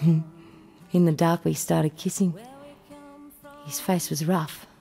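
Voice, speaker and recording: A woman's voice: on the low side, fairly even intonation, slow pace, vocal fry, mellow. Young Australian runaway woman, streetwise, wary, colloquial storytelling, radio play, music underneath. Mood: dreamy recollection. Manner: colloquial, plain-spoken storytelling.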